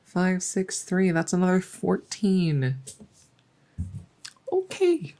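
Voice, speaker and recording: A woman playing a friendly, chatty dungeon master in a soft-spoken ASMR roleplay, musing and hesitant as she talks intimately to the listener. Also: on the low side, sing-song, slow pace, exaggerated voice. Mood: amused, focused. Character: friendly female dungeon master, chatty, teasing, doting cat owner.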